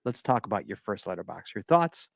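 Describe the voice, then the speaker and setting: Lively American man hosting a podcast. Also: on the low side, vocal fry, no room sound. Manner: lively, jokey, fast-paced. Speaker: podcast host. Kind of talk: casual podcast banter.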